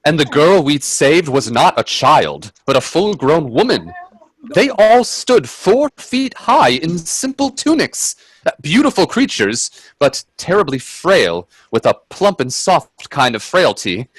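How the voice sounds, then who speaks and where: Wonder-struck gentleman companion, radio drama, genteel, hesitant, very lively pitch, dry close sound. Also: measured pace, no room sound, exaggerated voice, rough recording. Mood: wondering, narrating. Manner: hesitant, stammering in dialogue, genteel phrasing. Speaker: actor and narrator in a radio play. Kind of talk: radio drama acting and first-person narration. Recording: husky dry close audio from video-call performance.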